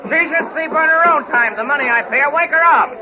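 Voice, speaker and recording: Brisk, bossy movie mogul, old radio. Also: male voice, very high voice, a loud crowd, very noisy. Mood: irritated. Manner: rapid-fire barking dictation and orders. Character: brash, self-important, bossy Hollywood movie producer. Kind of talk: 1930s radio comedy acting. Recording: muffled 1930s radio broadcast recording.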